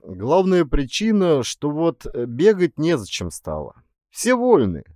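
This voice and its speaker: A weary former bandit from an audiobook tale, acted out by a male reader, talks in calm peasant idiom. He is frank and resigned, gloomy underneath, and his pitch moves widely. The sound is close and dry. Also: unhurried, no room sound. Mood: matter-of-fact. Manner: plain folksy peasant speech, calm.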